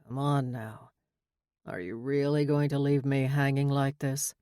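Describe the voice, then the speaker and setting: A male actor voices a romance hero, a smug and seductive military man with a brooding streak. He speaks in an intimate, teasing way at a middling pitch. The sound is dry, with no room around it. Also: level tone, a little slow, dark tone, smooth, dry recording, lightly acted. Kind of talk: audiobook romance dialogue.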